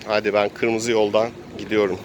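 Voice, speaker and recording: A man's voice: fairly low pitch, flat delivery, normal speed, noisy background. A man improvising narration for a tabletop role-playing game, telling the action as if he were living it. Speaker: game master and player.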